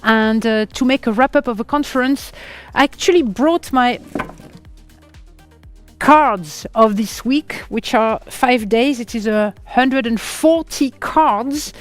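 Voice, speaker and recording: A woman with a French accent hosting a conference live on stage, in a lively, playful way that breaks into laughter. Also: a little high, takes it slowly, soft background music. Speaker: conference host and moderator.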